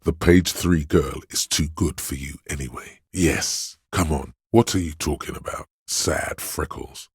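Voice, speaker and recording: A man voicing a sleazy, hedonistic inner voice of addiction in a video game, with a slow, coaxing, streetwise manner and a deep gravelly, husky sound. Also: dry recording, clean studio sound, exaggerated voice. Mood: lustful, urging, then sleazy, mocking. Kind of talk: video game character voice acting.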